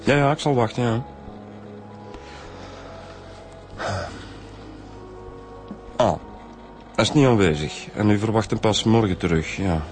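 A sharp-witted Flemish police inspector, played by a man in a radio crime drama. His voice sits fairly low and he talks in a plain, informal way over faint music. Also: lively intonation, a little fast, close to an everyday voice. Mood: brisk. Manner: matter-of-fact, informal. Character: quick-thinking male federal police inspector. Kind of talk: naturalistic radio drama acting. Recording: music under the dialogue.

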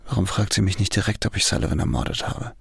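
An actor playing the inner voice of a calculating, brooding ex-convict in a radio drama, creaky and introspective. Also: male voice, lowish voice, lively intonation, fast, dry recording, studio quality, exaggerated voice. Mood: uneasy brooding. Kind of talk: radio drama interior monologue. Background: music.

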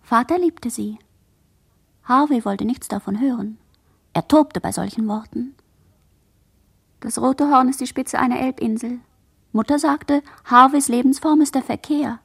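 A high, breathy girl's voice, drifting through a radio play monologue. She sounds dazed and incantatory, piling up fragments and sinking often toward a whisper. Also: a little slow, pauses often, exaggerated voice. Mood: detached, musing. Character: dazed girl, sole surviving witness, drifting in fragmented monologue.

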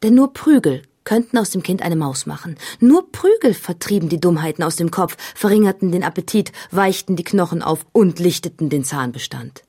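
A woman's voice: lowish voice, expressive, normal speed, hardly any pauses, dark tone, husky, dry recording, clean studio sound. An actress playing a sardonic mother in a chorus of parents, reciting in a radio play.

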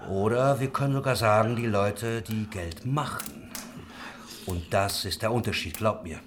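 A man's voice: on the low side, unhurried, background noise, lightly acted. An actor playing a worldly, idealistic craftsman who leads a religious commune, musing and talkative, in a German historical radio drama. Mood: genial, knowing.

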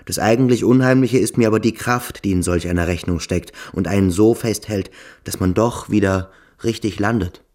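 Guy acting broody observant school pupil for a radio play, deep voice, thinks slowly out loud. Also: dry recording, lightly acted. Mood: uneasy fascination.

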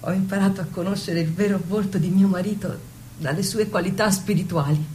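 Bit of background noise, woman playing a dignified young noblewoman in a radio play, formal and measured. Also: medium pitch, steady tone, mellow, close to an everyday voice. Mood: earnest, pleading. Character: devoted young noblewoman, dignified daughter and bride. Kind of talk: stage-style radio drama acting.

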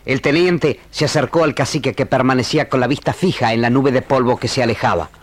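An actor as the solemn storyteller of a historical radio serial, reading dramatic narration. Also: male voice, mid-range voice, fairly quick, slight background noise, close to an everyday voice. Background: loud music.

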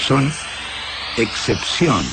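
Slow, creaky alien invader. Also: male voice, medium pitch, expressive, heavy background noise. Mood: cold, explanatory. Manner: broken foreign-like syntax, halting, solemn. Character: alien invader, a Mano, cold methodical captor. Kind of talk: science fiction radio drama acting. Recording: music behind the voice.

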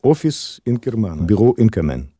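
An actor announcing scene locations in a radio play, speaking tersely and formally. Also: male voice, fairly low pitch, big pitch swings, normal speed, background noise. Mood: neutral. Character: male announcer of scene locations in Russian and German.

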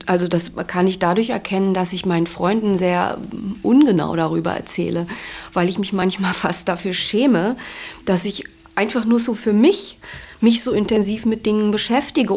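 A woman's voice: medium pitch, a little mellow. A woman talking candidly and reflectively about herself in an interview, feeling her way through her thoughts. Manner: candid, searching, self-examining. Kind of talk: reflective personal interview talk.

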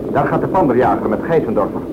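A man playing a chatty, alert co-pilot in a radio play, talking briskly and wryly. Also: medium pitch, lively intonation, close to an everyday voice. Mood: brisk, watchful. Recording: very noisy, dull old radio recording. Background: loud engine drone.

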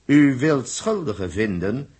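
Pompous, husky doctor in vintage radio drama. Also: male voice, mid-range voice, very animated, darkish tone. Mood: condescending. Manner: formal, measured, pompous. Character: evasive, condescending male doctor, formal and smooth-tongued. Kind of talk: 1960s Dutch radio drama acting.

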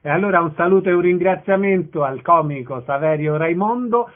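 A man's voice: high-pitched, a little fast, phone-quality sound. A man hosting a radio interview, asking questions in a conversational, presenterly style. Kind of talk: conversational interview with prepared introduction.

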